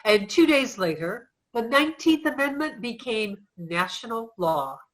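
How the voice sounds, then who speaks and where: A woman's voice: on the high side, very animated, measured pace, a bit bright, poor audio. A woman delivering theatrical scripted narration in a reader's theater, in a declamatory manner.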